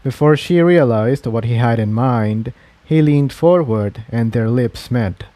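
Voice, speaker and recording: A man narrates an adventure story in a mid-range voice. His tone is very mellow and dark, and the recording is close and dry, with hardly any room around it. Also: mid-range voice, measured pace, no room sound. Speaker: fan fiction narrator.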